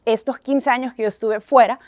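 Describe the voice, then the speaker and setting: A woman's voice: fairly high pitch, fairly even intonation, a little fast, a little mellow, dry recording, clean studio sound. A woman in her thirties from El Salvador with a Salvadoran Spanish accent, giving an earnest conference talk with frequent restarts and English phrases mixed in. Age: 30s. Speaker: conference speaker. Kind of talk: motivational talk on stage.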